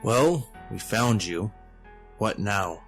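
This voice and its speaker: A man's voice: lowish voice, expressive, drawn-out words, soft background music, full character voice. A man voicing a big, cocky male bodyguard in a fantasy audio story, with a blunt, dry manner. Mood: matter-of-fact. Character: gruff, big hairy-faced male bodyguard with massive ego.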